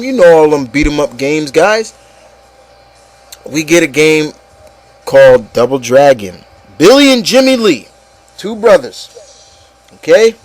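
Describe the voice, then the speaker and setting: A man's voice: a little high, dry recording. A man hosting an opinion show in a casual, incredulous rant, repeating words for emphasis and swearing. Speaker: host of an opinion show on video games.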